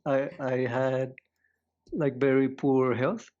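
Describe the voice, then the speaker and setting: A man from Colombia speaking as an interview guest, modest and soft-spoken, often repeating words as he talks. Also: mid-range voice, steady tone, slow pace, muffled recording. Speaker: guest, tea adviser. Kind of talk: casual interview.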